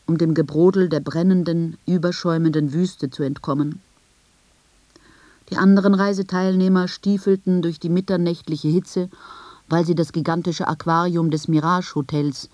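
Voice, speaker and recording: A woman reads scripted, first-person literary narration in a measured, reflective manner with deliberate phrasing. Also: fairly low pitch, warm tone, dry recording. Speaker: narrator of a literary radio piece.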